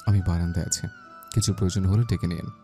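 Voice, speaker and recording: Male lead for romantic audio drama: actor as young army officer husband, low, very mellow voice, possessive, teasing, intimate. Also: steady tone, quick pace, faint music behind, natural voice. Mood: restrained. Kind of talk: romantic audio story acting.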